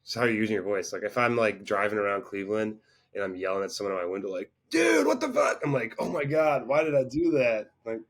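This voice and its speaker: A man's voice: on the low side, sing-song, fast talker. A young man, a band's singer, chatting casually in an interview, rambling with lots of fillers and self-deprecating asides. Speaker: band singer, interview guest.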